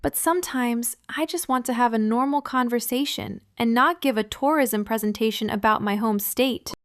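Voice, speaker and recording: A young woman from California co-hosting an English-learning podcast, speaking clearly like a teacher and laughing often. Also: a little high, moderate pace, no room sound, clean studio sound. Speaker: podcast co-host.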